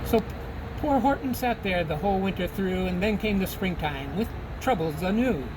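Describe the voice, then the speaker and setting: A man's voice: high-pitched, lively intonation, normal speed, background noise. A man from a school giving a children's storybook read-aloud to students. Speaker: school staff reading to students.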